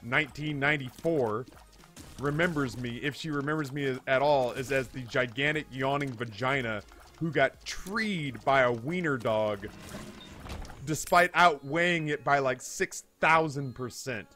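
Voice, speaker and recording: Male streamer, 30s. Medium pitch, casual comedic storyteller, exaggerating and swearing freely, background music. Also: unhurried. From United States. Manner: animated, hyperbolic, profane. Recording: music behind the voice.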